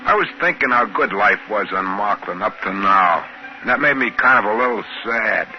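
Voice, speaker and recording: A man's voice: fairly low pitch, lively intonation, moderate pace, slight background noise. Folksy 1950s radio-drama everyman. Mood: wry storytelling. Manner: folksy, colloquial. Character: plain, folksy male trading-post worker on an alien planet. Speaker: narrator and character. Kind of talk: 1950s radio drama acting and first-person narration. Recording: muffled old radio broadcast with music.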